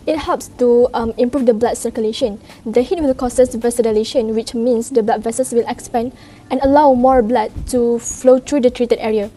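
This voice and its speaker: Mellow, higher-pitched young woman presenting. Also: a little hiss or hum. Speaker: student presenter. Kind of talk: scripted class presentation.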